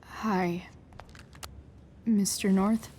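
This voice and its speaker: A woman playing a wry, sweary, guarded but caring teenage girl in a video game, muttering sardonically in breathy asides. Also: medium pitch, steady tone, extremely slow, darkish tone, a little hiss or hum. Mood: hesitant. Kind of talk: naturalistic video game voice acting.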